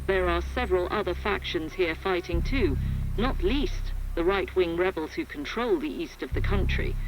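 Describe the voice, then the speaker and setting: A grave, composed woman war correspondent reports over a radio link with clear background noise. Her delivery is sober, measured and articulate, in the manner of scripted radio news. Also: on the low side. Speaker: field reporter. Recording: noisy radio field report.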